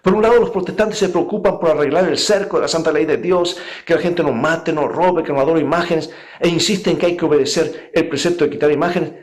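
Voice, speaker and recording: A man's voice: on the high side, brisk. A man preaching a sermon as a pastor, speaking earnestly and emphatically and addressing his listeners directly. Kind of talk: sermon with illustrative anecdotes.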